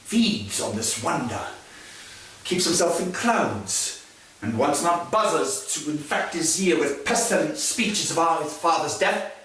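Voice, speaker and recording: An actor playing a scheming middle-aged king, speaking verse in a measured, weighty classical stage manner. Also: on the high side, lively intonation, fairly bright tone. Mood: grave, troubled. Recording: echoey stage recorded from far off.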